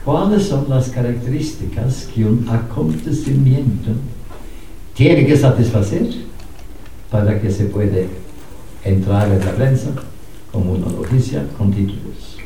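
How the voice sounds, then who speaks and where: Slow, mellow older man lecturing in a hall. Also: medium pitch, vocal fry, a little hiss or hum. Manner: measured, emphatic, pausing between short phrases. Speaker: peace researcher giving a lecture. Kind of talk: public lecture. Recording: echoey hall, speaker far from mic.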